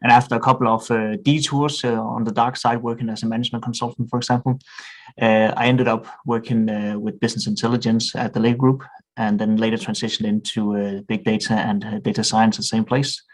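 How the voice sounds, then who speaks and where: Danish man, mid-career podcast guest, monotone, halting and considered. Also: lowish voice, poor audio. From Denmark. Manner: thoughtful, frequent hesitations and restarts. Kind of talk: podcast interview.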